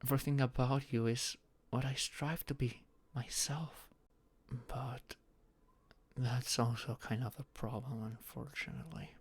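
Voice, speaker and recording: A young man playing a shy, anxious friend in an intimate audio roleplay, speaking haltingly with sighs and murmurs. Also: mid-range voice, steady tone. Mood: fond, admiring, then downcast, fragile. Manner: halting, sighing, breathy murmurs rising to tearful outbursts.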